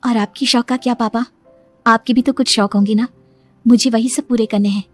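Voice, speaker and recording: A woman's voice: on the high side, fairly even intonation, normal speed, soft background music, voice pushed up, natural voice. A woman voicing a devoted, earnest young daughter and bride in a cartoon moral story, with a pleading way of talking. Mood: protesting, caring.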